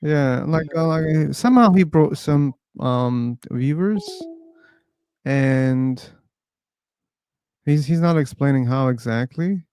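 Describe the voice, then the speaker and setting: A man hosts a live talk stream, chatting casually and laughing easily as he trips over his words. His voice is smooth and slightly high. The sound is close and dry. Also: slow, darkish tone, dry recording. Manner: laughs often, restarts words, easygoing. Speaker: host of a live discussion stream.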